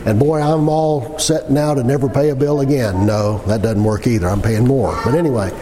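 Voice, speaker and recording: A man's voice: medium pitch, normal speed, mellow. A man in his sixties preaching a sermon in a folksy, conversational way, stressing points through repetition and rhetorical questions. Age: 60s. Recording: long hall reverb with background noise.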